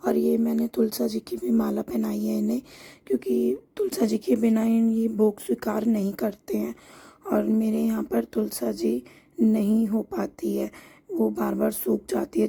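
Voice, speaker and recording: Woman home vlogger with a sore-throat hoarseness, chatty step-by-step voice-over, darkly toned. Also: a little high, warm tone. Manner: chatty and homely, explains each step as she goes. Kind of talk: casual voice-over narration of a home routine.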